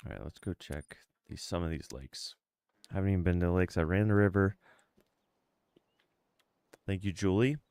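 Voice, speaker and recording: A man's voice: low voice, moderate pace, long pauses, darkish tone, clean tone, dry recording. A congested, sniffly man in his mid thirties chatting casually as he livestreams a game, close on the mic. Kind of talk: casual livestream chat with gameplay commentary. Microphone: close streaming microphone.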